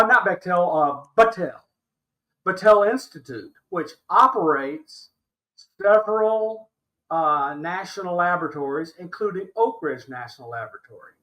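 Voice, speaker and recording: A man's voice: high voice, a bit bright, rough recording. An American man in his sixties giving impassioned interview commentary as a podcast guest, emphatic and exasperated, repeating himself to drive points home. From Alabama, United States. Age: 60s.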